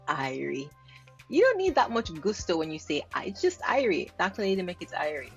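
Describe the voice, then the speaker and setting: A Jamaican woman with a fairly low voice, speaking as a linguist who breaks down pronunciation. She says words over and over to show exactly how they are spoken. There is faint music in the background. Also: sing-song, moderate pace, husky, dull audio. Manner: repeats words to demonstrate sounds, laughs lightly. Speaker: linguist host. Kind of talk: explaining pronunciation while reacting to clips.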